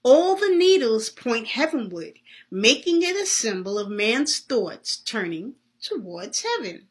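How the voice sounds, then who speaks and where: A woman voicing a solemn, earnest Santa Claus in a read-aloud story, teaching slowly and emphatically. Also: on the high side, very animated. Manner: slow, emphatic, preacherly.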